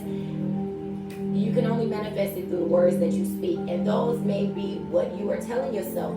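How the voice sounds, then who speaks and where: A woman giving a casual daily tarot reading in a chatty, spiritual way, speaking straight to her viewers, her voice a little raspy and scratchy today. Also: mid-range voice, far from the mic. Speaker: tarot reader. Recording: very echoey room, voice off-mic, loud music behind.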